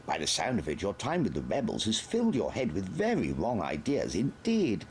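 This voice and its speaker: A man's voice: lowish voice, wildly swooping pitch, moderate pace, a little hiss or hum, pitched above the performer's own voice. A man voicing a pompous, easily flustered army major in an audiobook. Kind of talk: audiobook character voice.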